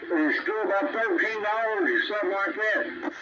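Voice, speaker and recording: A man's voice: high voice, moderate pace. A man with a Tennessee Southern accent chatting informally and talkatively over a CB radio. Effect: two-way CB radio transmission. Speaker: CB radio operator. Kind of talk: casual radio chat. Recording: muffled, distant, very noisy CB signal.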